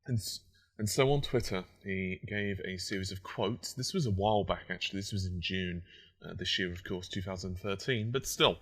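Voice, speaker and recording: A man with a croaky, just-woken voice, explaining technology in a solo monologue, with fillers and self-corrections. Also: low voice, normal speed, a bit bright. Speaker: tech commentator.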